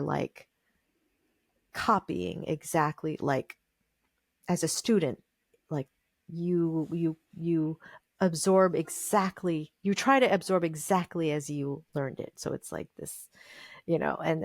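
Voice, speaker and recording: A woman with a fairly low voice talks at length as a guest on an interview show. She wanders through her thoughts, stops herself and starts again, and often leaves pauses filled with "um". The recording is close and dry, with no room sound. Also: creaky, a little mellow, no room sound. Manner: thoughtful, meandering, with many fillers and restarts. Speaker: guest musician. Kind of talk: casual interview conversation.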